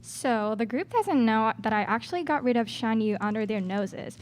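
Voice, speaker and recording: A young woman plays a scheming, secretly murderous student in an amateur radio play. Her voice is fairly high and youthful, with everyday delivery. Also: expressive, moderate pace, close to an everyday voice. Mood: secretive, smug. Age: young adult.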